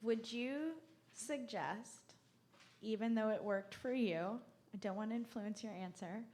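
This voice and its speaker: A woman's voice: fairly high pitch, unhurried, darkish tone. A woman in an audience asking a casual, joking question.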